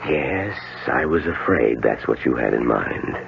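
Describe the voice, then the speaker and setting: An actor playing a calm, deliberate and polite doctor in a 1970s radio drama. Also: male voice, extremely low pitch, creaky, heavily acted. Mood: reluctant, firm. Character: calm, measured male doctor offering psychedelic suggestion cures. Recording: tinny, band-limited old radio broadcast, faint hiss.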